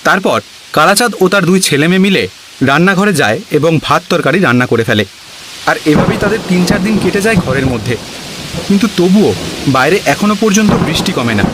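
A man's voice: high voice, noisy background. A man narrating a scripted cartoon story as a storyteller.

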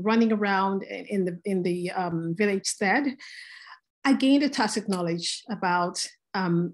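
A woman from Nigeria talks through her art practice over a video call. She pauses often, filling gaps with soft hesitations as she gathers her thoughts, in a middle-pitched voice. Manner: hesitant, many fillers. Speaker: panelist artist.

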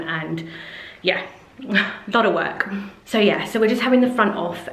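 A woman's voice: on the low side, fairly bright tone. A woman in her thirties chatting casually to a close handheld camera in a large kitchen. She rambles and uses many fillers. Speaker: home renovation vlogger. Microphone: camera held close. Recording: echoey room.

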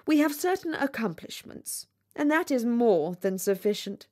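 A woman's voice: mid-range voice, close to an everyday voice. A woman voicing a vain, haughty male firework rocket in a storybook, with a pompous, condescending manner. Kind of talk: storybook character reading.

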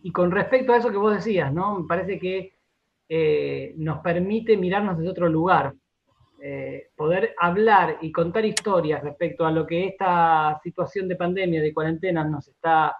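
A man's voice: sits high, normal speed, highs cut off. An Argentine man explaining things as an interview guest, repeating words and often checking in with short tag questions. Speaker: radio drama director, interview guest.

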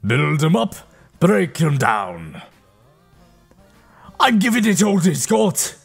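A young man voicing high-pitched, perky male versions of game heroines. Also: wildly swooping pitch, takes it slowly, gravelly, breathy edge, soft background music, exaggerated voice. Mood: cheerful. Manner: bright, perky, playful.

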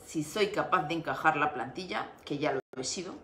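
A woman's voice: sits low, a little fast, fairly bright tone, a little hiss or hum. Chatty middle-aged woman live-streaming crafts. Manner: chatty, laughs often, greets viewers by name. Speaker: craft livestream host. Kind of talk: casual livestream chat. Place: home room with window. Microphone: device camera on desk. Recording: echoey room.

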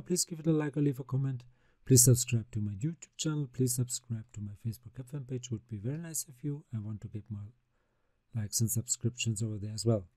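A man, narrating a screen-recorded software tutorial step by step, calmly describing each click. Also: mid-range voice, dry recording. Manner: unhurried, step-by-step narration of mouse actions. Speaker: CAD software instructor.

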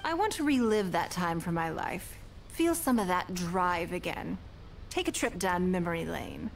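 An actress voicing a poised, wealthy female leader in a game, speaking in a measured, refined, self-assured way. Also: lowish voice, lively intonation, creaky, a little hiss or hum. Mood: composed. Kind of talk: game character dialogue.

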